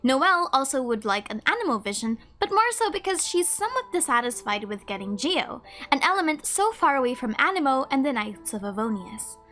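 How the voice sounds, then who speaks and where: A woman's voice: sits high, big pitch swings, a little fast, hardly any pauses, sharp tone, soft background music. A woman hosting a video with casual scripted narration. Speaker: video creator, host.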